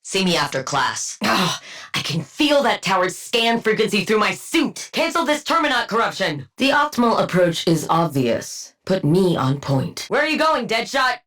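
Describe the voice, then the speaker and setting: A woman's voice: mid-range voice, very animated, moderate pace, a bit bright. A woman playing a haughty, sardonic scientist supervillain in a video game, with clinical, precise diction and dry, condescending wit. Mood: dry, commanding. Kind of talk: scripted game character voice lines.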